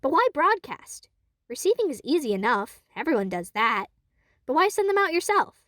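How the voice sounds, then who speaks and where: A woman voicing an impulsive, curious young woman who gets excited and bursts out easily. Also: high voice, no echo, clean studio sound. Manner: excitable, quick to outbursts.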